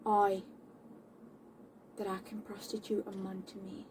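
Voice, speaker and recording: A young woman playing a defiant, scornful tomboy of seventeenth-century London in a classical stage monologue, declaiming indignantly with dramatic pauses. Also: mid-range voice, level tone, close to an everyday voice. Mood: proud, defiant. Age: young adult. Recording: distant mic with faint background noise.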